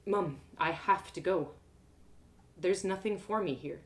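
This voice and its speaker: A woman's voice: on the low side, a little slow, close to an everyday voice. A woman voicing an earnest, sensitive young woman adventurer in a fantasy story, speaking plainly. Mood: earnest pleading.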